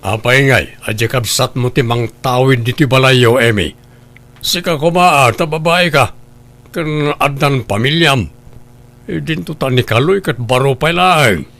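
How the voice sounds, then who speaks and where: A man's voice: mid-range voice, measured pace, creaky, a bit bright. A man voicing a scheming husband who coaxes his wife in an Ilocano audio drama. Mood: coaxing, scheming. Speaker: voice actor.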